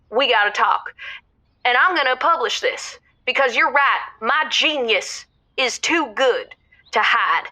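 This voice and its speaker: Grandiose female amateur writer for audio comedy; high, forceful bright voice, dramatic emphasis, dry booth. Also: no room sound, exaggerated voice. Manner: emphatic, dramatic, self-important. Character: excitable, grandiose amateur fan-fiction writer woman.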